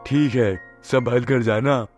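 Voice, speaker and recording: A man playing a cautious, principled husband in a moral-story cartoon, measured and advising. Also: mid-range voice, steady tone, darkish tone, faint music behind.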